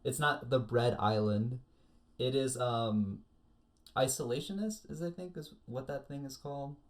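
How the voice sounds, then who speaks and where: A man's voice: medium pitch, unhurried. A man chatting casually as a panelist on an anime discussion show, explaining things with detailed asides. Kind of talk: casual chat.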